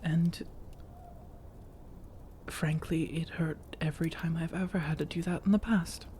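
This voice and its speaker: An elegant vampire woman, acted by a woman, speaks in a mellow, soft, breathy voice that often sinks to a whisper. Her phrasing is measured, formal and coaxing, in the manner of an ASMR roleplay. A faint noisy ambience sits behind her. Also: deep voice, steady tone. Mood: measured, serious. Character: elegant, persuasive, long-lived female vampire seeking a roommate.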